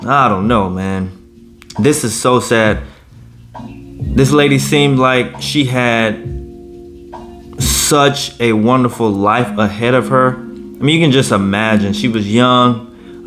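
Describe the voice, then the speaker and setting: Blunt, slow-talking man in his thirties. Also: medium pitch, fairly bright tone, faint music behind. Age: 30s. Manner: blunt, profane, indignant. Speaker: commentary vlogger. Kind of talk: reaction commentary.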